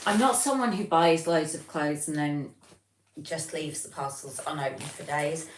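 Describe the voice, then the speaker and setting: A woman's voice: low-pitched, moderate pace. A British woman hosting a livestream, chatting casually and informally and reading out comments from viewers. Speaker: livestream host. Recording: roomy, distant mic, noticeable background noise.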